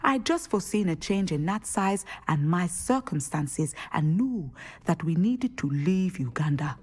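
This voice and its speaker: A wise, dignified seer who teaches divination in a fantasy game. Her voice is fairly low and husky, moving expressively through formal, deliberate phrases with dry amusement. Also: animated, darkish tone. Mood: dignified, gently amused. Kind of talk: scripted game dialogue.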